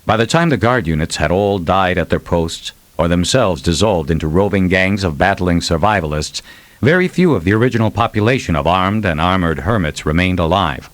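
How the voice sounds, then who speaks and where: A man's voice: low-pitched, animated, brisk, dry recording. A man narrating fiction as a scripted, dramatic audiobook reading.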